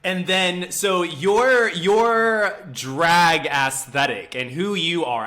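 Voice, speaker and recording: A man's voice: a little high, heavy background noise. A man hosting an interview, playful and gushing, with sing-song intros and lots of "like". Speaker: interview host. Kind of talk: casual interview.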